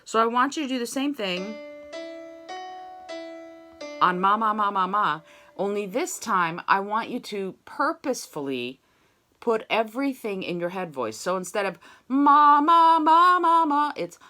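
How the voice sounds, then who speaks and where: A woman's voice: medium pitch, animated, unhurried, pushed and bright, faint music behind. A woman teaching an online singing lesson, coaching students and demonstrating sung scales on "ma". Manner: encouraging, demonstrates sung vowels.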